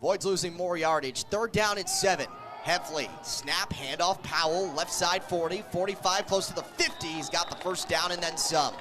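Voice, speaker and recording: High-voiced man calling football play-by-play. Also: normal speed, hardly any pauses, over music, crowd noise. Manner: clipped, vivid phrases that follow the action. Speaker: American football radio play-by-play announcer. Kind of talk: live sports play-by-play commentary.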